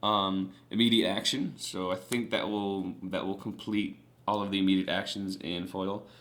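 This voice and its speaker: A man's voice: low-pitched, fairly even intonation, moderate pace, fairly bright tone. A young man chatting casually and eagerly in a vlog, showing off trading cards with lots of fillers. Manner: chatty and enthusiastic, many fillers.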